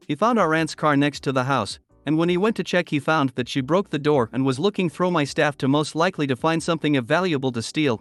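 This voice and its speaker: A man narrating online posts aloud in a steady read-aloud delivery. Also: a little high, dry recording.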